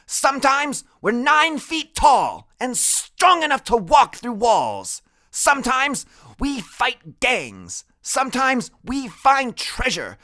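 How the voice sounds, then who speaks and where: A man doing a tiny fierce boy shouting orders. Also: sits high, no room sound, studio quality, pitched above the performer's own voice, full character voice. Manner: bold, commanding, shouting.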